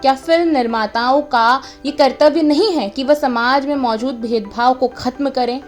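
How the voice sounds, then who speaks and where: A woman's voice: sits high, moderate pace, faint music behind. A woman presenting a scripted video explainer in an earnest, emphatic way.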